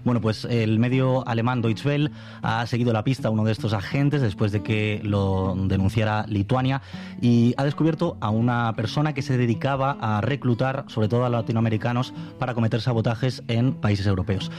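Spanish man reporting over clear music. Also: medium pitch, fairly even intonation, brisk, darkish tone. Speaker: radio international news reporter. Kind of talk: conversational radio news storytelling.

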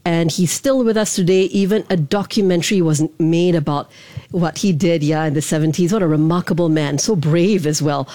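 Woman, interviewer, chatty, affirming, low mellow voice, dry sound. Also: fairly even intonation, normal speed, no echo. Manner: chatty, affirming, long questions. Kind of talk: interview questions.

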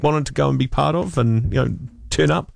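New man, radio host, mid-pitched, dry close sound, chatty and rambling. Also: a little fast, gravelly, no echo. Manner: chatty, enthusiastic, rambling questions. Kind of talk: casual radio talk. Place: radio studio.

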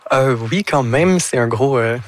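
A 21-year-old man, a guest on a radio panel, talking hesitantly with many pauses and fillers. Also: mid-range voice.

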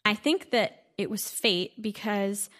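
A woman's voice: medium pitch, slow pace, fairly bright tone. A teenage girl from New York, a young classical singer, talking earnestly in a radio interview. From Brooklyn, New York. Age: teens. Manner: earnest, youthful, sometimes laughing.

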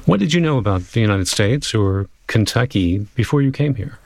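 A man's voice: on the low side, lively intonation, fairly quick, gravelly. Male host asking questions. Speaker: radio host. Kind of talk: interview questions.

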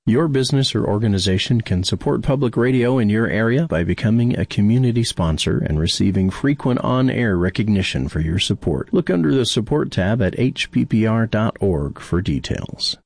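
Male announcer, gravelly and low, dry booth, plain read. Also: lively intonation, moderate pace, hardly any pauses, darkish tone, dry recording. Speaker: station announcer.

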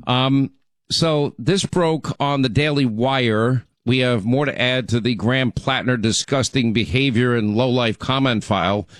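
Chatty middle-aged radio host. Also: male voice, mid-range voice, measured pace, no echo. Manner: chatty, rambling, frequent restarts. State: recovering from laryngitis. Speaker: radio talk show host. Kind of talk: casual talk radio monologue.

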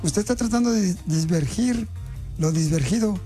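High-voiced man running a prank call. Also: expressive, moderate pace, dark tone, faint music behind. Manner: teasing, mock-stern, needling. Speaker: radio prank-call host. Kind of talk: prank phone call, posing as a government official.

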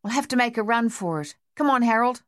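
A woman voicing an urgent young male soldier in an audiobook, speaking gruffly and coaxing his comrade. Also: on the high side, expressive, brisk, no room sound, studio quality, voice pushed up, natural voice.

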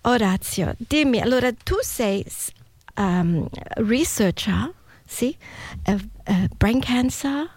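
A woman's voice: a little high, expressive, slow, husky, no room sound. Bubbly woman radio host. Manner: warm, switches between Italian and English, laughs often. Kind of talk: bilingual community radio chat.

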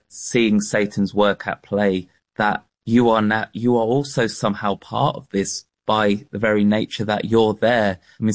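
A man presenting a documentary and interviewing a guest, asking measured, probing questions. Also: on the low side, dry recording. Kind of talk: broadcast interview.

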